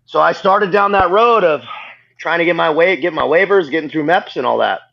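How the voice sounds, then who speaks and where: A man's voice: fairly high pitch, a little fast, creaky. A man in his thirties, a guest on a video call, telling his story bluntly and laughing at himself. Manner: blunt, self-mocking, laughs often. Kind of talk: candid storytelling interview. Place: living room at home. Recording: dull lo-fi video call audio.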